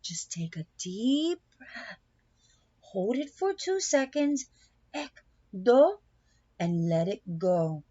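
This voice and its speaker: A woman voicing a patient, encouraging aunt who teaches children yoga, with gentle, measured instruction, in a storybook read-aloud. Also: medium pitch, big pitch swings, no echo, lo-fi sound. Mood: reassuring.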